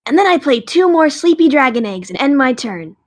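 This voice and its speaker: A woman voicing a smug, playful female dragon who quips deadpan in an audio drama. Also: high voice, brisk. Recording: faint music behind.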